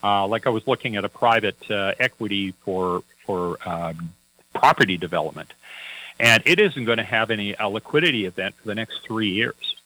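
A man's voice: lowish voice, expressive, moderate pace, sharp tone, low-quality recording. A man working as a financial advisor, chatting on a radio talk show in a folksy, anecdotal way with many fillers and restarts.

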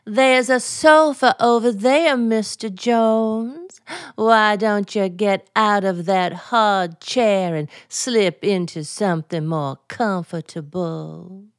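Slow-talking melodramatic wife. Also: female voice, mid-range voice, no echo, clean studio sound. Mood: seductive, playful. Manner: exaggerated, melodramatic. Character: theatrical wife putting on mad, melodramatic acts. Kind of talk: 1940s radio comedy acting.

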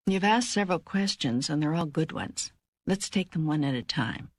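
A woman voicing God as a wise teacher in a spiritual audiobook, speaking calmly, deliberately and with assurance. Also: low-pitched, husky, no room sound. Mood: calm, assured. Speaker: audiobook co-narrator. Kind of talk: scripted narration, spiritual dialogue.

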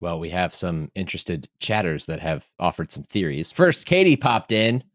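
A man's voice: sits low, normal speed, dry recording, studio quality. A man co-hosting a motorsport podcast, chatting casually and joking with lots of laughs. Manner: animated, laughs often, jokey asides. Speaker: podcast co-host. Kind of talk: casual chat.

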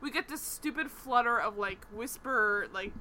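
Animated, rambling woman in casual chat; bright, slightly high voice; faint hiss behind. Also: normal speed, poor audio. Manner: animated, rambling, laughs often, swears. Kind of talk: casual chat recapping a TV show.

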